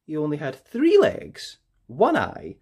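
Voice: mid-range voice, huge pitch swings, slow pace, a little mellow, husky. A storyteller reading a children's picture book aloud in a playful, theatrical way, drawing out key words for emphasis. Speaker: storyteller, host of a read-aloud show.

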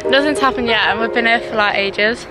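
A woman's voice: on the high side, fairly quick, over loud music. A young woman vlogging outdoors in a park, chatty and giggly, filming on a phone at arm's length. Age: young adult. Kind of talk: casual vlog chat. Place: outdoor park on a housing estate. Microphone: phone camera at arm's length.

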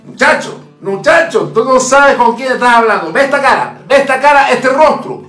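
Guy putting on a cocky cuban actor wannabe, high voice, roomy echo from far off. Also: lively intonation, normal speed, fairly bright tone, soft background music. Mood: boastful. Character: eager young Cuban male aspiring actor, boastful.

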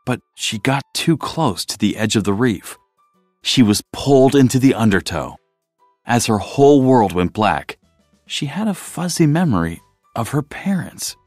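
A man reading a children's storybook aloud as narrator, in an expressive style, close to the mic. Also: mid-range voice, no echo.

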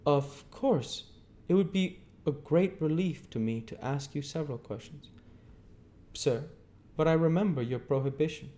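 A man's voice: fairly high pitch, unhurried, mellow, pitched above the performer's own voice, lightly acted. A man voicing a nervous, deferential young man who answers politely and hesitantly.

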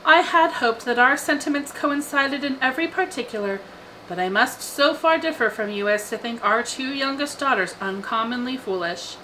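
A woman's voice: high voice, sharp tone, slight background noise, pitched above the performer's own voice, poor audio. Woman playing a dry, sardonic middle-aged father. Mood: wry. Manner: sarcastic, formal, measured wording. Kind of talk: audiobook character voice.

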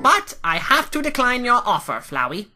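A woman's voice: on the high side, very animated, moderate pace, bright, forceful tone, full character voice. A woman voicing a boastful, innocent, earnest male skeleton in a comic dub, with a theatrical delivery. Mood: earnest, confident. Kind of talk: comic dub character acting. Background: music.

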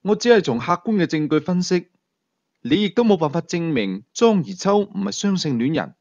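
A man's voice: high-pitched, sing-song, normal speed, darkish tone, no echo, lo-fi sound. A man with a Hong Kong Cantonese accent voicing a stern, stubborn police commander in an audiobook, earnest and argumentative. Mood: insistent. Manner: earnest, insistent.

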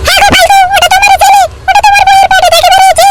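Scolding cartoon village wife, male performer, extremely high pitched-up voice, quick, music behind. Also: flat delivery, far from the mic. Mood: earnest. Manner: sharp, insistent, pleading. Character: scolding, defiant village wife and motherly aunt. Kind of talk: comic cartoon dialogue.

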